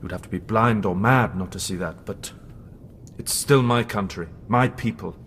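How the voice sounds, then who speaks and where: An actor playing a proud German fighter pilot held prisoner, dry and defiant, in a radio drama. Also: male voice, fairly low pitch, moderate pace, a bit bright, a little hiss or hum, natural voice. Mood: dry defiance.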